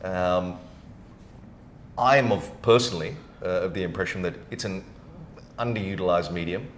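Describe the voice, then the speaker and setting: A man hosting a podcast, asking winding, hesitant questions in a conversational style with repeated words, recorded in a roomy space. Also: normal speed. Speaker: podcast host. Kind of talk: conversational interviewing. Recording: roomy echo, noticeable rumbling background noise.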